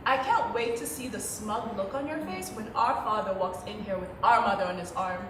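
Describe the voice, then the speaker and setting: A woman's voice: medium pitch, normal speed, lightly acted. A woman playing a rich businessman's ambitious, teasing daughter in a stage play, projecting sardonic banter. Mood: confident, teasing. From Tanzania. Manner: sardonic sibling banter, projected theatrical delivery. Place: theatre stage, picked up from a distance. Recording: echoey theatre, distant mic, faint noise.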